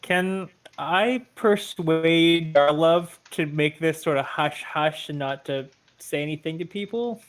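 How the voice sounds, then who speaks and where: Hesitant, slightly high-voiced man. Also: slow pace, few pauses. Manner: apologetic and hesitant. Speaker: player.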